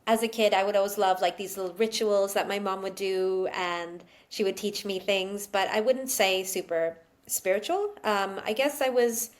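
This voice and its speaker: A woman's voice: medium pitch, moderate pace, hardly any pauses. Woman from sweden, interview guest, chatty, frequent laughter, lots of fillers. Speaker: guest, psychic channel. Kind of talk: casual interview chat.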